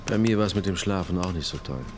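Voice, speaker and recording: A male actor as a level-headed detective with a fairly low voice, speaking tersely and firmly. It is naturalistic radio crime drama, with noticeable ambience and traffic noise behind him. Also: fast, close to an everyday voice. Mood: measured, thoughtful.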